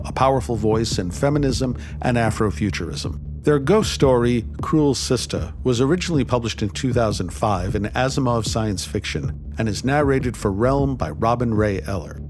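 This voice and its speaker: A man hosting a story anthology, delivering a scripted, dramatic introduction. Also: on the low side. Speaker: anthology host. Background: music.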